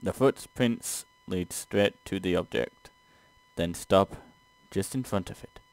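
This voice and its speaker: Male gaming co-host, low voice, dry close sound; stumbles through read-aloud text, swears casually in exasperation. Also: slow pace, no room sound. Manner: stumbling reader, profane asides, exasperated. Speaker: gaming show co-host playing and reading aloud. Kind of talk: casual let's play, reading game text aloud.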